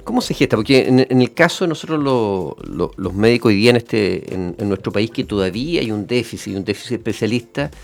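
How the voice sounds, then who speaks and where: Low-voiced Chilean man hosting an interview. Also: expressive, creaky. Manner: halting, repeats words, checks in with short tags. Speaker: interview show host, a doctor. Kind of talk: conversational interview.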